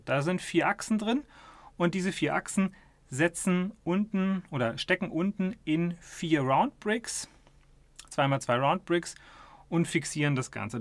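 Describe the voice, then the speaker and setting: A man in his thirties calmly explaining a model as he turns it in his hands, talking casually at a table indoors. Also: on the high side, no echo. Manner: explains calmly while handling and turning a model. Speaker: toy model reviewer.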